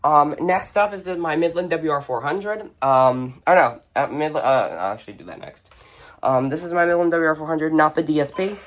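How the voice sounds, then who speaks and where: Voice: mid-range voice, normal speed. A person casually showing off a radio collection unscripted, giving short, blunt verdicts in an offhand way. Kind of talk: casual unscripted show-and-tell. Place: indoors at home, among shelves and tables of radios.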